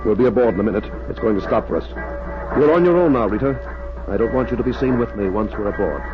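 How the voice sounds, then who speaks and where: Actor as a commanding secret agent, muffled recording. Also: male voice, lowish voice, lively intonation, fast talker, over music, lightly acted. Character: brisk, commanding male secret agent hero. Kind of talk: old-time radio acting. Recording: dull old radio recording.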